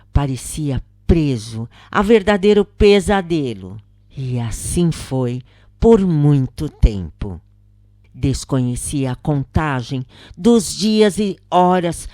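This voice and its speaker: A Brazilian woman narrates in a low-pitched voice at an unhurried, slow pace. Her reading is solemn and theatrical, with deliberate phrasing. The sound is very dry, with no room around it. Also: darkish tone, no echo. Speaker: narrator reading a spiritist account. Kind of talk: dramatic scripted narration.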